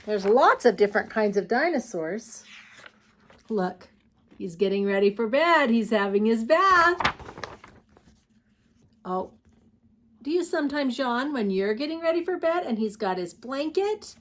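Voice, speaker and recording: Slow, sing-song woman reading to young children. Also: fairly high pitch, lively intonation, a bit bright, slight background noise. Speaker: children's storytime host. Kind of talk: reading picture books aloud to young children.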